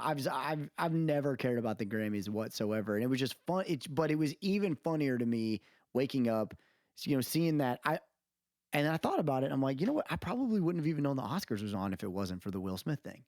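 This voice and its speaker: A man's voice: mid-range voice, sing-song, fairly quick, darkish tone, no echo, studio quality. A man talking casually and emphatically as a podcast co-host, giving opinions in informal, colloquial language. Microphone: close podcast mic on remote recording.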